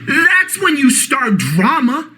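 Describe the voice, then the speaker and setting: High-voiced Boston man ranting. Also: very animated, slow, slight background noise. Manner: profane, shouting, mocking. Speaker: YouTube ranter. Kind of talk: angry reaction rant.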